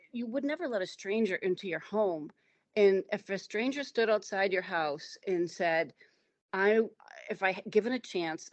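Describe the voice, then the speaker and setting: A woman's voice: fairly low pitch, no echo. A middle-aged woman hosting a casual talk show conversation, speaking in an opinionated, emphatic, rambling way. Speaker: host.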